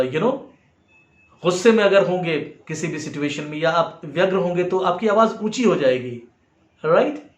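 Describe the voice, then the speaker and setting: A man with an Indian accent giving an explanatory lesson in a measured way as a music teacher. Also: a little high, dull audio. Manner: measured, demonstrates points with acted example phrases. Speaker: music teacher and video host. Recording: roomy, echoing sound.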